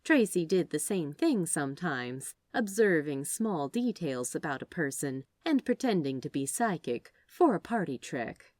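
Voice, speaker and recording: A woman reading a story aloud as narrator, in an expressive scripted style. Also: low-pitched, unhurried, few pauses, dry recording. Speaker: fan fiction narrator.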